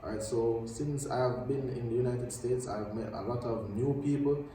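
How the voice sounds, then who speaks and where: A young man from Jamaica speaking casually as a student guest, relaxed and teasing. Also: medium pitch, normal speed, far from the mic, a little hiss or hum. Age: young adult. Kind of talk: casual chat. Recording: very echoey room, off mic.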